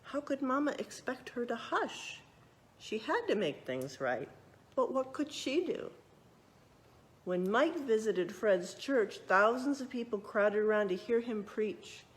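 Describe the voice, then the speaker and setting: Woman reading a storybook aloud with lively pitch. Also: a little slow. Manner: expressive, addresses young listeners with questions. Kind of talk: read-aloud storytelling with explanatory asides. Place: museum exhibit space.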